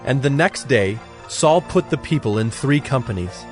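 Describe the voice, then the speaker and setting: A male narrator reads a scripture story in a solemn, measured way. His voice is medium in pitch, with music playing clearly behind it. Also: animated.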